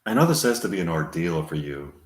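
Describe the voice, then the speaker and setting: A man's voice: sits low, big pitch swings, fast talker, pitched below the performer's own voice. Man reading as an earnest detective, echoey room. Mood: earnest, apologetic. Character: earnest, apologetic male detective. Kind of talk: movie dialogue reading. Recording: roomy, echoing space.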